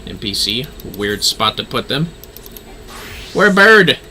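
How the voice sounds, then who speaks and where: A man's voice: mid-range voice, very animated, measured pace, bright, forceful tone, a little hiss or hum. A man livestreaming a game with casual commentary and offhand, joking, muttered asides.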